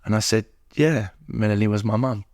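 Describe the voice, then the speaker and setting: A man's voice: fairly low pitch, moderate pace, darkish tone, no room sound, studio quality. A middle-aged man, speaking as a podcast guest and telling personal recovery stories, acting out what people said. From England.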